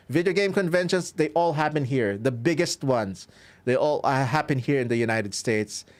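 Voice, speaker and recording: A man from the Philippines with a Filipino accent, chatting casually to his livestream audience as he wraps up, upbeat and chuckling often. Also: medium pitch. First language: Filipino language. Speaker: streamer and violinist. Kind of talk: casual livestream sign-off to chat.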